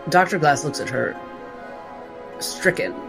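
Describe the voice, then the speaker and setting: Slow, deliberate man narrating a character. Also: a little high, creaky. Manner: deliberate, halting, thoughtful. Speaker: tabletop role-playing player. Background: soft background music.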